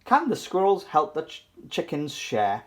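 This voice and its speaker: Animated, playful children's storybook reader. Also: medium pitch, unhurried. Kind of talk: animated picture-book read-aloud for toddlers.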